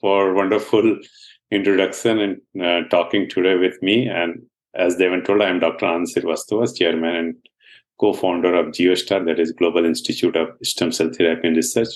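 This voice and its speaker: A man in his sixties from India with an Indian accent and Hindi as his first language, a scientist guest who explains his work earnestly and often pauses on fillers in a podcast interview. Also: deep voice, fairly even intonation. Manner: earnest, explanatory, frequent uh fillers, laughs at times.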